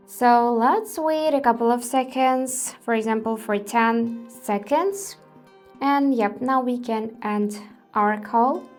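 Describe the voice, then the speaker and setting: Slow-paced, higher-pitched woman giving tutorial. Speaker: tech tutorial presenter. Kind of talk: step-by-step tutorial narration.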